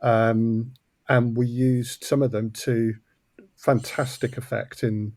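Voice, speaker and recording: A man's voice: medium pitch, flat delivery, slow pace, a little mellow, dry recording. A man who makes audio drama, talking casually as an interview guest in a thoughtful, hesitant way, often repeating words.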